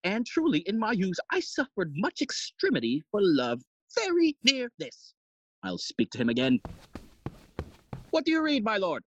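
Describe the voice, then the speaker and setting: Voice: medium pitch, wildly swooping pitch, normal speed, a bit bright, breathy edge, dry recording, dull audio, heavily acted. An actor playing a fussy, long-winded old male counsellor in an audio play, theatrical and forever interrupting their own speech. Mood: puzzled, scheming. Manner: rambling, theatrical, self-interrupting. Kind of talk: Shakespearean stage acting in audio play.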